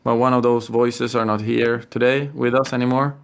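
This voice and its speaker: A young man with a Swedish accent co-hosting a casual podcast, halting and full of fillers. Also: mid-range voice, flat delivery, vocal fry, clean tone. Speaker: podcast co-host. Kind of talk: casual chat.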